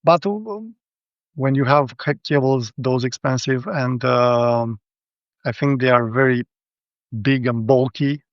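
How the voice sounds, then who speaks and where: A man's voice: medium pitch, takes it slowly, dry recording. A man with a Romanian accent giving a casual technical rundown as a guest, speaking hesitantly, over dull lo-fi audio. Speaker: guest, hi-fi show visitor.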